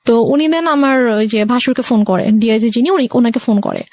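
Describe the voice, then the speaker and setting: A young adult woman with a Bangladeshi accent and a slightly high voice. She tells a personal story in a conversational, somewhat hesitant way, pausing as she links events. The sound is very dry, without room echo. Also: darkish tone. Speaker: show guest telling her life story.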